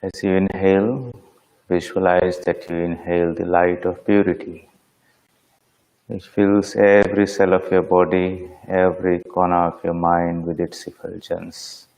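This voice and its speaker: A man leading a guided meditation as a teacher, speaking in a calm, measured, solemn way, phrase by phrase. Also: low voice, vocal fry, poor audio.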